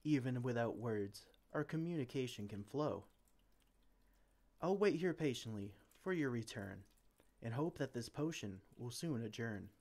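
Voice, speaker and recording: A man's voice: mid-range voice, lively intonation, a little slow, darkish tone. A man playing a flustered, well-meaning potion-brewing mage in an ASMR roleplay, theatrical and exclamatory. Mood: pleading, reassuring. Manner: theatrical, exclamatory, speaks to a silent assistant.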